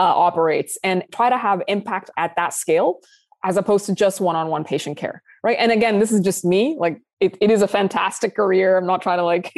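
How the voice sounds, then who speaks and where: A young adult woman from Sudan, a founder answering interview questions in a casual, animated way, laughing often and restarting sentences. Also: on the low side, dry recording, studio quality. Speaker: guest founder.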